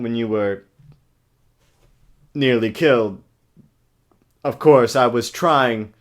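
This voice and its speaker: Man putting on a halting, overdramatic brooding vampire boyfriend. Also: mid-range voice. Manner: halting, strained, overdramatic delivery. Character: brooding young male vampire boyfriend, slow, pained, melodramatic. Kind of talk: parody dramatic book reading. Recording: choppy cheap webcam recording.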